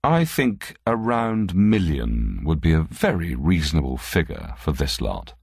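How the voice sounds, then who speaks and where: A man voicing a cool, wary male thief in an audiobook, speaking in a mild, measured, understated way. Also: a bass voice, sing-song, gravelly, darkish tone, breathy edge, no room sound, clean studio sound, exaggerated voice. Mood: businesslike.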